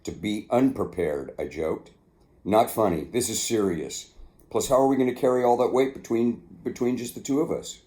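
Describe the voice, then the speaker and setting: An older man telling stories from his own memoir, with an unhurried, halting delivery. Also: lowish voice, expressive, warm tone. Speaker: author narrating own memoir. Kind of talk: casual storytelling, then reading aloud from a book.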